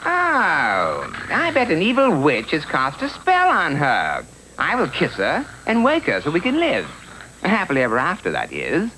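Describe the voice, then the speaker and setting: A man's voice: fairly high pitch, huge pitch swings, measured pace, sharp tone, full character voice. A pompous young prince, theatrical and silly. His voice swings dramatically up and down over a faintly noisy old cartoon soundtrack. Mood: earnest, romantic. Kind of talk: comic cartoon voice acting.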